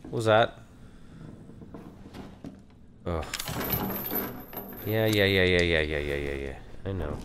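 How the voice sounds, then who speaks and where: Hoarse Texan man muttering through a horror game. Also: low voice, slow, long pauses, noisy background. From Texas. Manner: chatty, nervous, mutters and swears at scares. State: hoarse from allergies. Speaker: let's play gamer.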